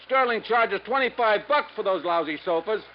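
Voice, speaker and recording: An actor playing a crooked, self-pitying middle-aged American furniture boss with blustering, slangy talk, in a 1950s radio drama. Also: male voice, sits high, lively intonation, normal speed. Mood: brisk, scheming. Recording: dull, noisy 1950s radio recording.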